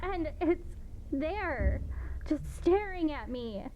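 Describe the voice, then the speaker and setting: High-pitched, terrified trapped woman. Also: big pitch swings, muffled recording, a little hiss or hum, exaggerated voice. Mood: frozen dread. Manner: halting, pleading. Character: terrified, despairing woman trapped in her apartment by a creature. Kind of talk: audio drama acting.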